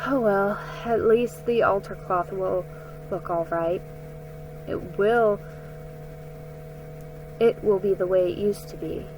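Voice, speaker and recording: A woman's voice: mid-range voice, slow, pauses often, background noise, natural voice. A woman voicing a sour, despairing older sister from a children's storybook, in a gloomy, dramatic manner. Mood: sour, resigned.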